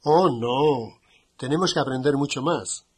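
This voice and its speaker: A man voicing a modest American sergeant. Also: mid-range voice. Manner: slow and clearly spoken for learners. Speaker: dialogue speaker in a language course. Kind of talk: scripted language-course dialogue.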